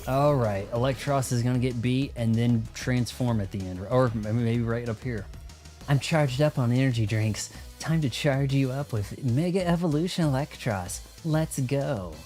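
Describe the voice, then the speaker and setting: A man's voice: mid-range voice, normal speed, a little mellow. A man giving casual, rambling let's play commentary on a video game, talking to himself as he goes. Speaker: streamer playing a video game. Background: game music.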